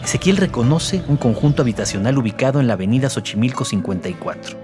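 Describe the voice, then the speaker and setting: A man's voice: mid-range voice, lively intonation, fast, music in the background. A man giving scripted narration for a documentary drama as co-narrator.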